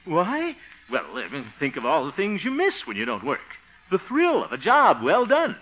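A man's voice: high voice, huge pitch swings, normal speed. An actor playing an exasperated, pompous but good-hearted man in a 1950s radio sitcom, blustering and muttering asides. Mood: lecturing. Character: exasperated, pompous, good-hearted grown son and self-styled mentor. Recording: dull old-time radio recording.

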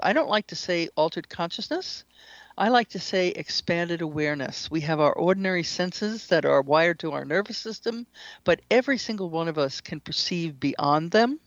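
A woman speaks as an interview guest, explaining things deliberately and pausing as she goes. Her recording is dry and close, with a somewhat dull, lo-fi tone. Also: fairly low pitch, no room sound. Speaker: guest author and shamanic practitioner.

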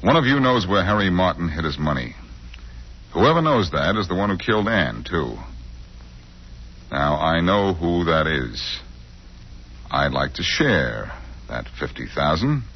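Cocky 40s radio detective, low voice w lots of swing, smooth talker, muffled old recording. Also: male voice, sing-song, measured pace, long pauses, full character voice. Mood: cool bargaining. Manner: smooth-talking, sardonic, teasing. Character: cocky, quick-witted amateur male sleuth, needling and confident. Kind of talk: 1940s radio acting.

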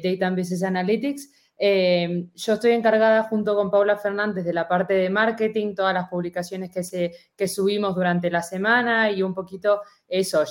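Young Argentine woman, easygoing guest. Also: mid-range voice. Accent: Argentine Spanish. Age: young adult. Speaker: student guest. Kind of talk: casual interview answers.

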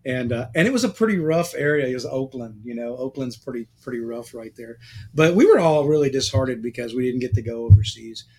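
A man's voice: medium pitch, a little fast, husky. A middle-aged man with an ordinary mid-range voice. He talks casually and loosely, often restarting his sentences. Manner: relaxed, rambling, with restarts. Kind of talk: casual conversation.

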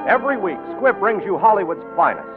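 A man's voice: high-pitched, very animated, fairly quick. A man announcing a sponsored radio show in a formal, grand, scripted 1940s style. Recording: muffled old 1940s radio broadcast, music behind.

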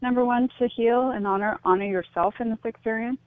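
A woman's voice: on the high side, steady tone, a little fast, no echo. A middle-aged woman being interviewed as a radio guest, explaining her thoughts in an earnest, reflective way. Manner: earnest, explanatory, often saying "you know". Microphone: phone or call line into a radio show. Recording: thin phone-like line, dry.

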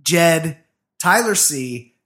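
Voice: medium pitch, animated, drawn-out words, a bit bright. Crude, blunt podcast co-host. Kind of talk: casual podcast chat.

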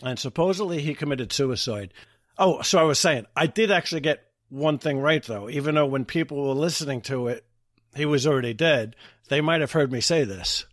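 A man's voice: fairly high pitch, a little fast, no echo, studio quality. A man hosting a podcast, chatting casually in a rambling storytelling way with plenty of swearing. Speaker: podcast host. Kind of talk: casual chat.

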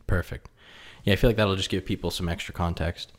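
A man's voice: low-pitched, fairly quick. A man hosting a casual podcast at his kitchen table, chatting easily with a guest with lots of fillers. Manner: relaxed, friendly, lots of fillers. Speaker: podcast host. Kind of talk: casual interview chat. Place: home kitchen.

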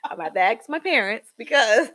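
A young woman co-hosting a casual gossip podcast, animated, with sudden high jumps in pitch and trailing ums. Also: fairly quick, background noise. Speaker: podcast co-host.

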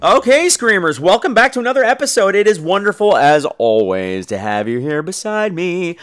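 A man's voice: sits high, very animated, moderate pace, fairly bright tone, faint music behind. A man hosting a podcast in a casual comedic monologue, with a campy, theatrical and emphatic delivery. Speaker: podcast host.

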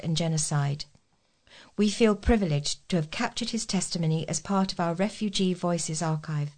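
Female, volunteer newsreader, slightly low voice, reading scripted regional reports. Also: a little mellow. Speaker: volunteer newsreader for a local talking newspaper.

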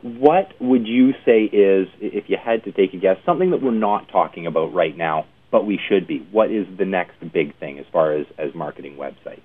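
A man's voice: sits low, expressive, moderate pace, few pauses. A man hosting an interview in a conversational style, working through long-winded, hesitant questions. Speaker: interview host. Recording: narrow phone-like band, lo-fi internet radio.

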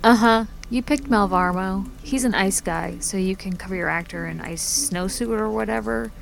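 An actress playing a curt, sardonic woman office boss with a dry, gravelly delivery. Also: medium pitch, moderate pace, a little hiss or hum. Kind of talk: audio drama acting.

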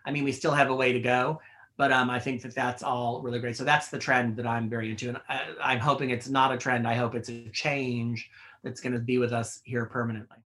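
Middle-aged fashion editor, bright tense tone, rambling chatty answers with lots of fillers. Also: male voice, mid-range voice, little pitch movement, a little fast. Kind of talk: interview answers.

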